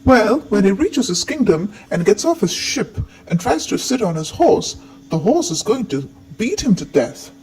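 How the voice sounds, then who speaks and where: A woman's voice: medium pitch, sing-song, normal speed, darkish tone, poor audio. A woman voicing a gloomy, all-knowing talking bird in a storybook tale, emphatic and ominous. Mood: knowing, grim. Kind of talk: acted storybook dialogue.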